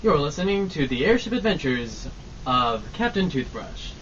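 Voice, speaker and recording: A man's voice: on the high side, sing-song, moderate pace, a bit bright. A man playing a cheerful, formal announcer type in an old-time radio drama. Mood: cheerful announcing. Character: breezy announcer and deferential airship crewman. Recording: muffled mic, clear background noise.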